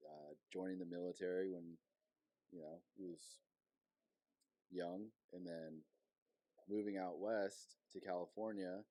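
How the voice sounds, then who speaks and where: Deep relaxed podcast host, dry sound. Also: male voice, a little slow, pauses often, dry recording, low-quality recording. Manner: relaxed, candid, swears casually. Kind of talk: casual podcast chat.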